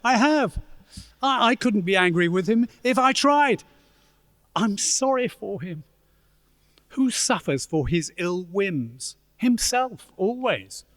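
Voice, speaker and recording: A man playing a cheerful, good-natured nephew in a radio play, with a jovial, animated manner. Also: sits high, slow, in a large hall, exaggerated voice. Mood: good-humoured. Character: cheerful, good-natured nephew amused by his miserly uncle.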